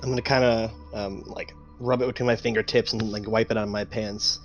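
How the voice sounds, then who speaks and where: Male game player, slightly low pitch, tentative casual delivery, faint music bed. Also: little pitch movement, fairly quick, highs cut off. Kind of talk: casual table talk.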